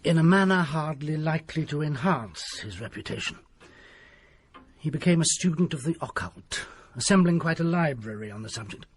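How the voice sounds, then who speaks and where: An actor playing a secretive country doctor who tells a grim tale in a grave, hushed manner. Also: male voice, fairly high pitch, expressive, normal speed, slight background noise, lightly acted. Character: desperate, secretive middle-aged male country doctor. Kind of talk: period radio drama acting.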